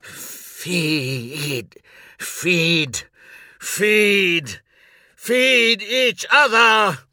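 A man's voice: medium pitch, sing-song, slow pace, breathy edge, no echo, clean studio sound, heavily acted. A man voicing a proud, anguished captive warrior in an audiobook, intense and declamatory, full of defiant rage. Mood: desperate roaring.

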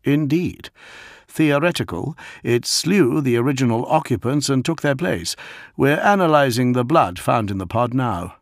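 A man's voice: medium pitch, animated, normal speed, vocal fry, no echo, clean studio sound, pitched above the performer's own voice. A man voicing a respectful, dutiful military officer in an audiobook, clipped, formal and urgent. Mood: grave reporting. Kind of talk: dramatic audiobook character.

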